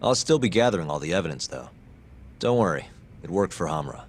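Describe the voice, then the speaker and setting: A man's voice: sits low, sing-song, slight background noise. An actor playing a cool, wry male private investigator in an English video game dub, dry and sardonic. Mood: calm, probing. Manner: dry, sardonic, unhurried questioning.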